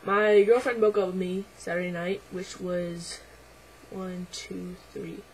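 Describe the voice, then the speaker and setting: A man's voice: little pitch movement, slow, a little hiss or hum, poor audio. Young man with a changing voice. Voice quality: voice midway through deepening, a bit unsettled. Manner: hesitant, lots of ums and likes, quiet and reflective. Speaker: vlogger. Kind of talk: casual personal video diary.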